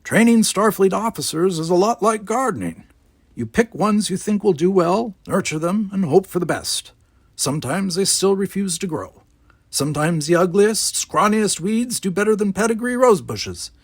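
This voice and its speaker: A grumpy little old gardener, played by a man reading a storybook aloud, speaks in a raised pitch. He is nosy and blunt, barking his words out with heavy emphasis. The sound is muffled in clarity and very close and dry. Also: lively intonation, moderate pace, husky, no echo, exaggerated voice. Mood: earnest mentoring. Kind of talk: children's novel read-aloud character voice.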